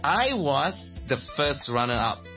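Animated man from Malaysia, dull audio. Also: medium pitch, slow pace, over music. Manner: animated, laughs often, restarts and repeats words. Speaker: guest, creative director and songwriter. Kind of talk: casual interview chat.

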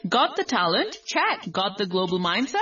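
A woman's voice: medium pitch, very animated, moderate pace, pushed and bright, soft background music. A woman performing a scripted radio promo dialogue in a peppy way. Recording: distant, dull promo sound.

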